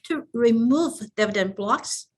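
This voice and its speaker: A woman's voice: on the high side, expressive, husky. A woman presenting scripted business material in a formal, explanatory way, often hesitating as she reads. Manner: formal, halting reading with frequent hesitations. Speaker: business tax advisory presenter.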